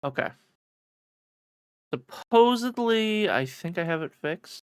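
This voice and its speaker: A livestreamer chatting casually and excitably with viewers, swearing freely as they banter. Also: medium pitch, slow, smooth, no room sound, studio quality. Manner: excitable, profane, bantering with chat. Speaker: streamer. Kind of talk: casual livestream chat and reading a visual novel aloud.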